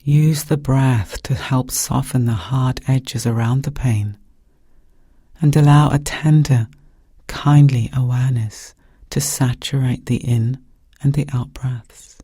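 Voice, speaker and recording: A man with a soft, breathy voice guiding a meditation in calm, gentle, measured phrases with pauses. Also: mid-range voice, dry recording, studio quality. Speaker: meditation guide. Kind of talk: guided meditation narration.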